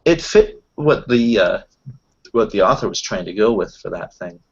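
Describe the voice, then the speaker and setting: A man chatting casually as a tabletop roleplaying player, pausing mid-thought as he goes. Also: on the low side, lively intonation, breathy edge. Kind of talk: casual chat. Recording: dull lo-fi voice recording.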